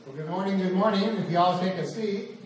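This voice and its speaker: A man's voice: sits high, a little fast. A man leading a church Bible class, introducing a guest speaker with frequent hesitations. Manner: hesitant, full of uh. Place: church hall. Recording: echoey hall from far off with room noise.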